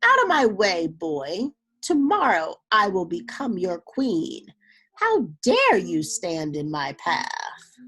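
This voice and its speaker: A woman's voice: medium pitch, wildly swooping pitch, slow, a bit bright, slightly rough, heavily acted. A woman voicing a selfish, haughty young daughter in a storybook, falsely sweet or imperious. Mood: haughty, impatient.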